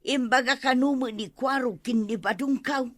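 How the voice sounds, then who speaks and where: A woman's voice: high-pitched, normal speed, dry recording, clean studio sound, lightly acted. A woman playing a nosy, puzzled village woman with an Ilocano accent in a radio comedy drama. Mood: gossiping.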